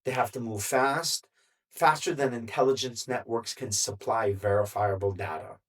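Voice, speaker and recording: A man giving solo opinion commentary in a measured, deliberate way, with emphatic pauses between phrases. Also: mid-range voice, fairly bright tone. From United States. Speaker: commentary vlogger.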